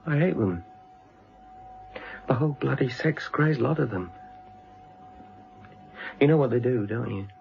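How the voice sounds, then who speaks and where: A man's voice: mid-range voice, lively intonation, measured pace, vocal fry, long pauses, dull audio, soft background music. An actor playing a menacing, obsessive young British policeman turned woman-hating killer in a radio drama. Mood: bitter, manic. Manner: menacing, self-justifying, obsessive.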